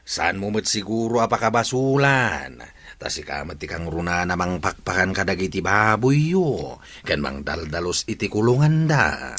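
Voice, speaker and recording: A man's voice: deep voice, expressive, normal speed, a bit bright. A man with an Ilocano accent playing a confident, conspiratorial village buddy who coaches his friend in a comedy radio drama. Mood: coaxing.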